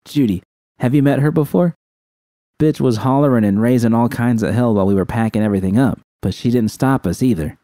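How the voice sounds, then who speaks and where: A man's voice: medium pitch, brisk, mellow, no echo, studio quality, voice pushed up, natural voice. A man voicing a brash, foul-mouthed, teasing young woman in an audiobook, with blunt, slangy delivery. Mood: amused, blunt. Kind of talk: audiobook character dialogue.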